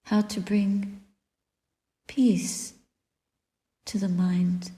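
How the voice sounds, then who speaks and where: A woman guiding a meditation as a Buddhist teacher, speaking softly and deliberately in short phrases with long pauses and breathy endings. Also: medium pitch, little pitch movement. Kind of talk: guided meditation, spoken dharma reflection. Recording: echoey room with long reverb.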